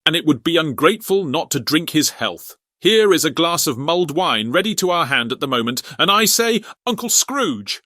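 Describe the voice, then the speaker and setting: A merry young gentleman, acted by a man in an audiobook drama. The voice is bright and fairly high, rising and falling with lively swings. It is hearty, good-natured and exclamatory. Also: moderate pace, few pauses, no room sound, clean studio sound, exaggerated voice.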